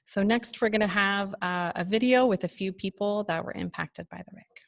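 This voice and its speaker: A woman hosting a nonprofit fundraiser, giving a prepared, earnest and measured talk. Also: medium pitch, no echo, clean studio sound.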